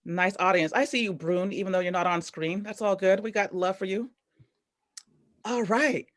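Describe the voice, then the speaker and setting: A woman's voice: mid-range voice, normal speed. A woman hosting a live online storytelling event over a video call, upbeat and chatty with loose, rambling phrasing. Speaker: host.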